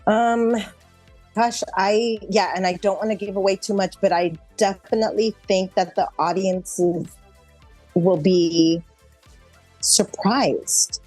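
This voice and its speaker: A woman's voice: medium pitch, steady tone, slow pace, a little mellow, faint music behind. A woman with an American accent chatting casually as an interview guest, explaining things in a reflective way. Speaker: interview guest, actor and improv performer.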